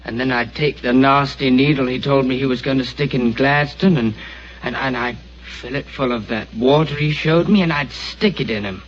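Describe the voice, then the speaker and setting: An actor playing a simple, gentle young man devoted to his dog, who speaks in a childlike, halting, stammering way, heard on an old radio drama. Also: medium pitch, lightly acted. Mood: childlike, eerie. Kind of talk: 1940s radio acting. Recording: dull old radio broadcast with faint hiss.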